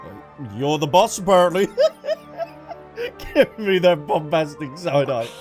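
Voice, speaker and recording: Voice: medium pitch, huge pitch swings, takes it slowly. A game streamer giving casual, playful reaction commentary with a jokey, chatty manner on a close microphone. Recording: faint game music behind.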